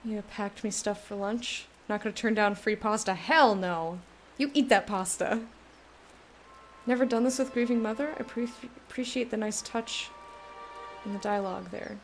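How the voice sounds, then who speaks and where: A woman's voice: mid-range voice, moderate pace, soft background music. A woman who hosts a let's play, reading the game's text aloud and chatting casually.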